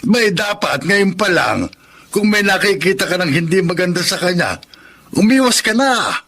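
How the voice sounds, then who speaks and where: Older Filipino father, radio drama, slightly high pitch, sharp tone, nagging, advising. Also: expressive, moderate pace, full character voice. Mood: concerned, probing. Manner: folksy, advising, questioning.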